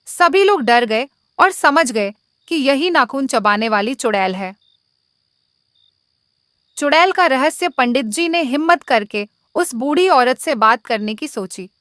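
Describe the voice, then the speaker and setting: High-voiced woman narrating a story. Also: lively intonation, unhurried, a bit bright, no echo, clean studio sound. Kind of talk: scripted moral story narration.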